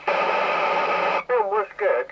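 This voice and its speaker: A man having a casual chat over CB radio. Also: drawn-out words. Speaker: CB radio hobbyist. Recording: noisy CB radio transmission.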